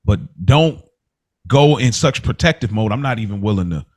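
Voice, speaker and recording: A man's voice: on the low side, animated, normal speed. A man co-hosting a podcast in casual conversation, reflective and emphatic, checking that listeners follow him. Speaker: podcast co-host.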